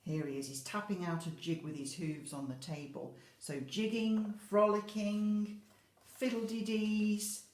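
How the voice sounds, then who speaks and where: A woman's voice: medium pitch, steady tone, a little slow, a little mellow. A British woman, an infant school teacher, talks to young children about a picture book, stressing key words and often checking in with tag questions. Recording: roomy, echoey home-style recording.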